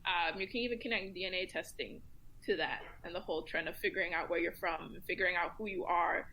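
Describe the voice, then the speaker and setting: Woman, panel guest commentator; bright, clear tone, thoughtful and measured delivery. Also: fairly low pitch, slight background noise, rough recording. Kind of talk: casual round-table discussion.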